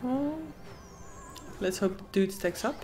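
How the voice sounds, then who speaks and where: A woman's voice: medium pitch, expressive, slow pace, warm tone, faint music behind. A young Dutch woman with a Dutch accent, co-hosting a live casino stream, chatting with viewers and reacting to the game. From Netherlands. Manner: chatty, reads chat aloud, squeals at wins. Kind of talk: live stream chat and commentary.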